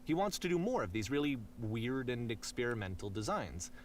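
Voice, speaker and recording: An actor playing a thoughtful male narrator in a video game, calmly explaining and musing, at times unsure. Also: medium pitch, moderate pace, slight background noise, natural voice. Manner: reflective, explaining, sometimes unsure. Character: thoughtful adult male narrator guiding the player.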